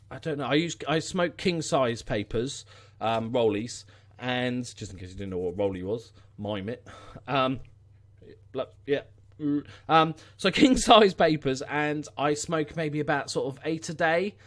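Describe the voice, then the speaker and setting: A man with a Scouse accent hosting a casual, unscripted vlog in an informal, wry way. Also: on the high side. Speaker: YouTube channel host.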